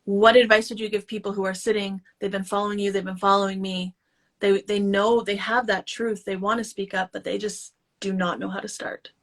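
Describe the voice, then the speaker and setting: A woman's voice: mid-range voice, flat delivery, fairly quick. A woman hosting an interview, asking rambling questions with many "like" fillers. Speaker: podcast host. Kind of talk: casual conversational interview.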